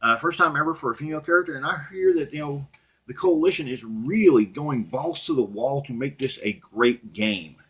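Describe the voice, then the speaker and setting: A middle-aged man with a husky voice, talking casually and off the cuff as a blunt, profane, opinionated gaming channel host. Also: mid-range voice, brisk, fairly bright tone. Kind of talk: casual unscripted rant and commentary to viewers.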